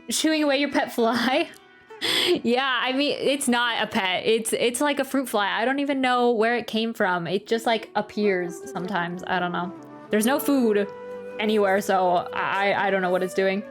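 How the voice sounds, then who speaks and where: A woman's voice: mid-range voice, normal speed. A woman streamer reacting to a game and chatting casually, rambling and often interrupting herself. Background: soft game music.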